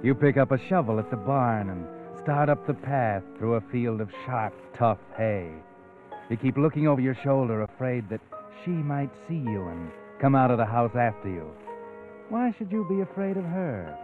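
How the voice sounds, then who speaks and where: A man's voice: medium pitch, lively intonation, normal speed, natural voice. Male actor as insinuating suspense narrator, tinny old radio. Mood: hushed suspense. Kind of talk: old-time radio drama narration, second person. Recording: tinny vintage radio recording. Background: dramatic music.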